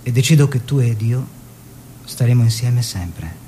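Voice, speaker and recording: An actor playing a devoted young painter husband, earnest and protective, in a measured theatrical delivery. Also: male voice, mid-range voice, expressive, mellow, a little hiss or hum, lightly acted. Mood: ardent. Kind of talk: theatrical monologue and letter reading.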